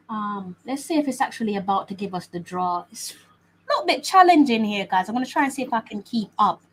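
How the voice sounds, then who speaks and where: A woman's voice: medium pitch, a bit bright, rough recording. A woman hosting a live watch-along stream, chatting casually and often repeating her phrases with many fillers. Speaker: host.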